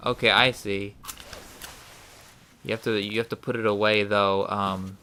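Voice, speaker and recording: A man casually commenting on a game as he plays, thinking aloud and reading the notes he finds out loud. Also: lowish voice, little pitch movement, unhurried, slight background noise. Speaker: let's play host.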